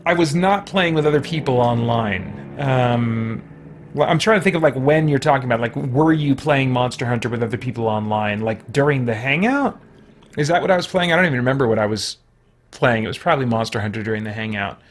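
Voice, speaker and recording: A man's voice: lively intonation, brisk. A man hosting a gaming livestream, chatting casually and thinking aloud in a rambling way. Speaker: livestream host. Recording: noisy background rumble.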